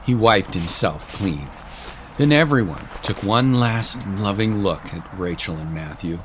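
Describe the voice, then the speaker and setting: A man's voice: fairly low pitch, animated, a little slow, slight background noise, low-quality recording. A man reading scripted story narration as the narrator of a drama.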